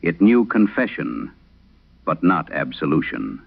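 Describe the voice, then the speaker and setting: A man performs an aging exorcist priest for a horror radio play, with a very low voice full of gravel. He confesses in grave, literary, measured phrases that swing widely in pitch, haunted and intense. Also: sing-song, phone-quality sound, exaggerated voice. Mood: intense, dread-filled. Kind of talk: dramatic horror radio monologue.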